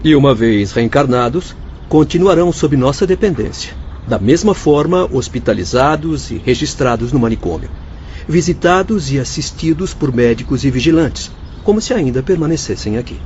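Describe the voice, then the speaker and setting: A man's voice: mid-range voice, animated, normal speed, a little mellow, slight background noise, close to an everyday voice. A Brazilian man acting in a radio drama as an earnest spirit mentor, explaining things formally and seriously. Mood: serious, explanatory. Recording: dull audio with music behind.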